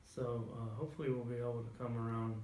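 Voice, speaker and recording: A man's voice: medium pitch, level tone, fast talker, dark tone, roomy sound, a little hiss or hum, low-quality recording. An enthusiastic man co-hosting a casual chat in a record store, talking with bravado and often over his partner. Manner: enthusiastic, talks over others. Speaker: co-host.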